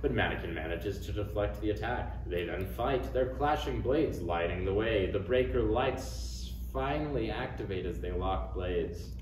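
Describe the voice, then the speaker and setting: A man's voice: lowish voice, expressive, moderate pace, few pauses, a little mellow, a little hiss or hum. A man reading stage directions as scripted narration at a comedy table read. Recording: echoey room, distant mic, crowd around.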